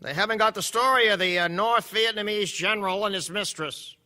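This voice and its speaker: Wry male reporter, radio drama, high register, extremely bright edge. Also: a little fast. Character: wry, gossipy newspaper reporter. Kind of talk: live radio play acting.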